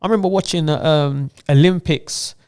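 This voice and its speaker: A man's voice: on the high side, moderate pace, a little mellow, smooth. A man co-hosting a podcast, talking casually and often asking "do you know what I'm saying". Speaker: podcast co-host. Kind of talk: casual chat.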